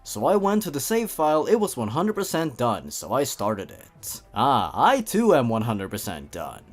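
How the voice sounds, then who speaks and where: A man's voice: medium pitch, huge pitch swings, normal speed, faint music behind. A man hosting a comedic reading, moving between dramatic narration and wry, sarcastic asides with theatrical emphasis. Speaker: comedy video host reading and commenting on horror fan fiction. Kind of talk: comedic commentary mixed with dramatic story read-aloud.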